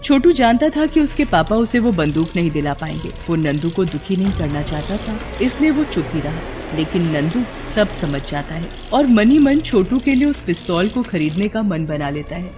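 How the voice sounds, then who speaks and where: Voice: medium pitch, very animated, normal speed, few pauses, darkish tone, slight background noise. A narrator telling a scripted moral story for a cartoon.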